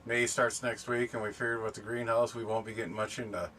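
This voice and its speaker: A middle-aged man chatting casually and unscripted for a vlog, explaining things in a rambling, halting way. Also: fairly low pitch, little pitch movement, a bit bright. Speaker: camping and ham radio vlogger. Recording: distant mic, background noise.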